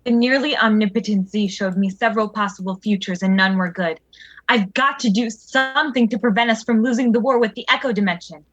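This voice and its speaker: A woman's voice: a little high, fairly quick, no room sound, natural voice. Woman playing a grave first officer, dull recording. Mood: determined, grave. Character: grave, determined female starship first officer. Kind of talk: radio theater acting.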